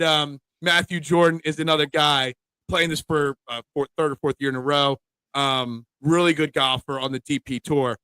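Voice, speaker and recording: A man's voice: on the high side, bright, forceful tone, smooth, no echo, low-quality recording. A man hosting a casual sports betting preview show, chatting with his co-hosts.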